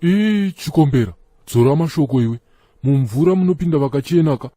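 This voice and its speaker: A man's voice: on the high side, lively intonation, fairly quick, dark tone, dry recording. An actor voicing a Zimbabwean cartoon church elder who lectures his friend in an earnest tone. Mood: lecturing. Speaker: cartoon character, friend of Chikombera. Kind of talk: comic cartoon dialogue.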